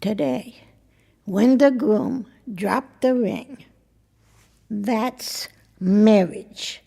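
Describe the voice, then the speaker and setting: An actress playing a blunt, worldly older married woman in an intimate audio drama, with a husky, creaky voice and a wry, halting manner. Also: mid-range voice, mellow, full character voice. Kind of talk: intimate two-person audio drama acting.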